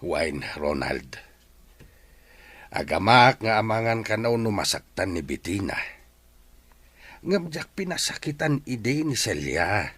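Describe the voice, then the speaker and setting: A man's voice: lowish voice, very animated, slow, long pauses, fairly bright tone, breathy edge, no echo, heavily acted. An actor playing a poor, regretful middle-aged father in an Ilocano audio drama, pleading earnestly with his son. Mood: earnest, wounded.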